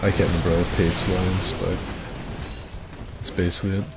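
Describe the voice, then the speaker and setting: A man streaming and chatting casually, rambling and self-deprecating, with a gruff voice. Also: sits low, little pitch movement, a little fast, music in the background. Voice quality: gruff, gravelly. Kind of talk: casual chat with viewers.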